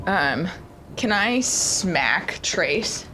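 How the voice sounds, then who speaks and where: A woman's voice: lowish voice, big pitch swings, extremely slow, over music. A woman player at a tabletop role-playing game, talking casually and a bit hesitantly.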